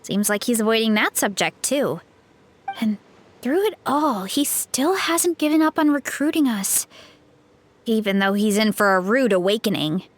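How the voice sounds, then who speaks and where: A level-headed young adventurer, played by a woman in a game scene. Her high voice moves a lot as she weighs doubts and reasons things out. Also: expressive, moderate pace, a little hiss or hum, natural voice. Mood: skeptical. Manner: reasoning, skeptical. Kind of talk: game voice acting.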